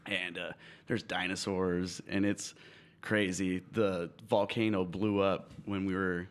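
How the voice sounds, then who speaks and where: A man's voice: sits low, flat delivery, takes it slowly. A man, a guest on a radio show, talking in a loose, laid-back way. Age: 30s. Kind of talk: casual on-air interview.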